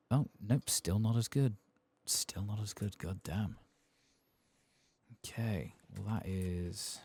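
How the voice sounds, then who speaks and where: A soft-spoken man muttering casual ASMR commentary as he plays a game, with a husky, gravelly voice. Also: sits low, no room sound. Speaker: gameplay streamer.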